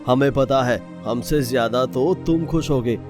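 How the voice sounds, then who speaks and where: A man acts a kindly storybook king in a cartoon. He speaks with regal formality at a medium pitch, with music clearly behind him. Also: measured pace, close to an everyday voice. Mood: joyful. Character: kindly, dignified king of a fairy-tale kingdom.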